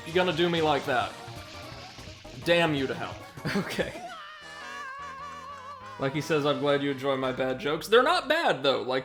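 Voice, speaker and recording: A man's voice: fairly high pitch, fairly bright tone. An American man streaming a video game live, rambling along with self-deprecating puns and reading out chat messages as he plays. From United States. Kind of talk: casual live commentary while gaming. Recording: game music underneath. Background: video game music.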